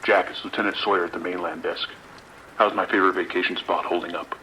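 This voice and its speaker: A man's voice: deep voice, level tone, quick pace, pushed and bright, slight background noise, poor audio. A man playing a stern, authoritative sheriff's lieutenant with a clipped delivery in an audio drama. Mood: breezy, familiar.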